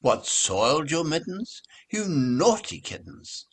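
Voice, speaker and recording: A man's voice: fairly high pitch, big pitch swings, slow pace, voice pushed up, full character voice. A man voicing a storybook mother cat who exclaims at her kittens in a sing-song, motherly scolding way.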